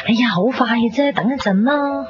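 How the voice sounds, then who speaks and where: A woman's voice: fairly high pitch, normal speed, natural voice. Muffled old radio sound, music behind, actress as nosy stubborn young woman, Hong Kong Cantonese accent, bantering, colloquial, exclamatory. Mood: impatient, bantering. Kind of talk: 1990s Hong Kong radio drama acting.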